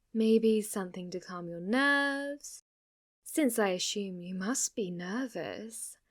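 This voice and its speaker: Girl acting as a smug clingy yandere witch, high voice, real slow n teasing, asmr roleplay. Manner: teasing, coy, overconfident, with giggles and asides. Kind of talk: ASMR roleplay addressed to the listener.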